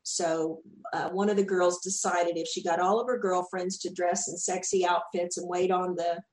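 A woman's voice: lowish voice, level tone, moderate pace, a little mellow, roomy sound, poor audio. A woman from Texas with a Southern accent, chatting as an actress guest in an interview and often repeating words as she starts a thought. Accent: Southern US, Texan. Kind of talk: casual interview chat.

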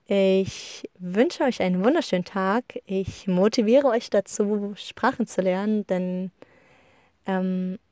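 A young woman hosting a personal podcast, talking freely and warmly rambling without notes, often correcting herself mid-sentence. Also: medium pitch, takes it slowly, no echo, clean studio sound. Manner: chatty, rambling, self-correcting, encouraging. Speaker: podcast host. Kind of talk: unscripted personal podcast monologue. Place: living in Iceland.

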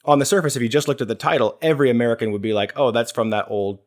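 A man hosting a casual podcast chat, explaining things in a measured way and thinking aloud as he goes. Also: mid-range voice, darkish tone. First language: English. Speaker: podcast host.

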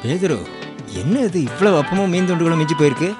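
An actor playing the disciple John in a radio drama, speaking in plain, earnest colloquial talk. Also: male voice, a little high, lively intonation, fast talker, close to an everyday voice. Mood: amazed. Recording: radio drama with soft music underneath.

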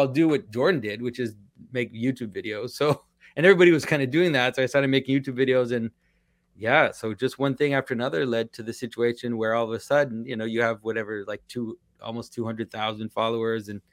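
Middle-aged quebec guy with a french canadian accent, thoughtful rambling answers, dead dry close mic, mid pitch. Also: dry recording. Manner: reflective, fluent storytelling with frequent restarts. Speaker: podcast guest. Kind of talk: casual interview talk.

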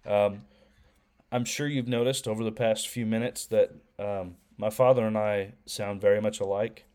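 A young man co-hosting a faith podcast, talking casually with a head cold that makes him a little nasal, often pausing with ums and restarting. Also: on the low side, steady tone, darkish tone. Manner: hesitant, many ums and restarts. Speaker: podcast co-host.

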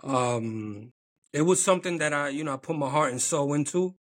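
Ny guy talking about his business, slightly high voice, lots of ums, laid back. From New York. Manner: relaxed, halting with many ums, chuckles. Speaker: clothing brand owner, guest. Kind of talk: casual interview chat.

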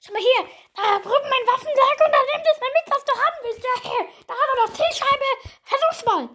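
A manic little weapons dealer from a game roleplay story, put on by a woman. The voice is very high, shrill and comically odd. He is generous, giggly and sing-song in his delivery. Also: expressive, fairly quick, bright, forceful tone, slight background noise, full character voice. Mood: excited, giggly. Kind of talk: improvised game roleplay audio play.

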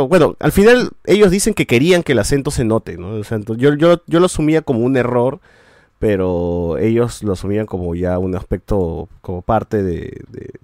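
A man's voice: fairly low pitch, a little mellow, dry recording, clean studio sound. A man, hosting a podcast and reviewing shows in casual chat, rambling with many restarts and a habit of ending sentences with a questioning tag.

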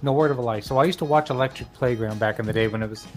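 A man's voice: mid-range voice, rapid-fire, soft background music. A married man in a casual podcast chat, reminiscing earnestly.

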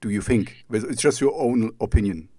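A man's voice: mid-range voice, dark tone. German guy hosting a chat in english, thick german accent, stops and restarts a lot, um um, dry close sound no room echo. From East Germany. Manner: rambling, halting questions full of restarts and fillers. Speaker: podcast host. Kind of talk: conversational interview.

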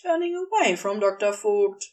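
A woman's voice: mid-range voice, very animated, normal speed, darkish tone, recorded from a distance. A woman reading fiction aloud as a scripted story narrator. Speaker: audiobook narrator.

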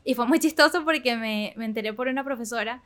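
Young Venezuelan woman hosting a personal podcast, talkative and open, pitched a little high. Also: quick pace. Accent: Venezuelan Spanish. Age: 20s. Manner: candid, chatty, with frequent fillers and soft laughs. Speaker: podcast host. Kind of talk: casual personal monologue.

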